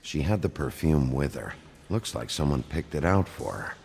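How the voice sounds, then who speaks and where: A man playing a gruff, weary detective in a video game, husky and gravelly, speaking tersely and dryly. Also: extremely low pitch, very animated, moderate pace, darkish tone, in a large hall, exaggerated voice. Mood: intent. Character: gruff, weary male detective, blunt and probing.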